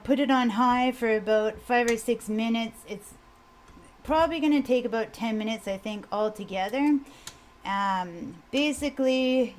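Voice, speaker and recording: A woman with a quiet voice, casually explaining a recipe in a home kitchen video, rambling a bit with fillers. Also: on the high side. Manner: relaxed, rambling, with fillers and mild swearing. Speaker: home cook vlogger.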